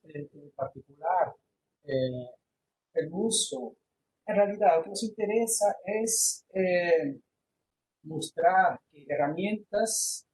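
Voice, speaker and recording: A man's voice: on the high side, a little mellow, slight background noise, low-quality recording. A man, giving an online university lecture with many fillers and restarts, slipping into Portuguese words. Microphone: computer microphone on a video call.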